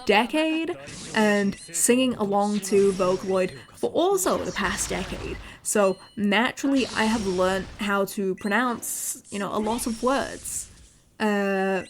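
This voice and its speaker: A young woman with an English accent, chatting casually on a livestream, often repeating words and filling pauses. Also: mid-range voice, animated, slight background noise, rough recording. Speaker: VTuber streamer.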